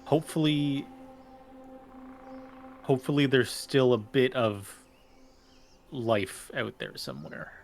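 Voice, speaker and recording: A man's voice: medium pitch, lively intonation, slow, long pauses. A man speaking casually as a player in a tabletop roleplaying game.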